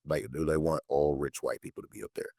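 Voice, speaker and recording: Male podcast guest, late teens, deep voice, dry close sound, informal emphatic storyteller. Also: little pitch movement, quick pace, creaky, mellow, husky, dry recording. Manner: informal, slangy, emphatic repetition. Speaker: podcast guest, political educator and content creator. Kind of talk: casual conversational storytelling.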